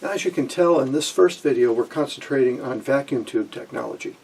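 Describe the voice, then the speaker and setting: A man in his sixties presenting an informal technical explanation in a halting way, with frequent pauses, in a small room at home. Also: mid-range voice, creaky, a little mellow, husky, distant mic, rough recording. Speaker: presenter of a vintage radio electronics channel.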